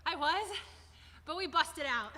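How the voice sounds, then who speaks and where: Woman acting a shrill, eager opera diva. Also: expressive, unhurried, sharp tone, a little hiss or hum, exaggerated voice. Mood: excited. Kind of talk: radio comedy sketch acting.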